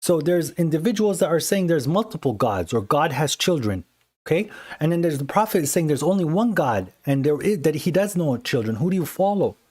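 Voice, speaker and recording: A man's voice: a little high, big pitch swings, darkish tone, breathy edge. Earnest man hosting a livestream debate. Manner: earnest, explanatory, quick to dismiss callers. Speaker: livestream host debating callers. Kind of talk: live call-in religious debate. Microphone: phone livestream.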